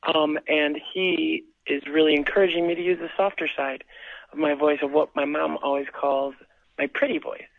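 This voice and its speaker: A woman's voice: sits low, steady tone, normal speed, creaky, dry recording. A bubbly young-sounding woman chats over a tinny phone line. She giggles often and sounds chatty. Her voice is dry and close, with no room sound. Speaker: singing contestant, phone guest. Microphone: telephone.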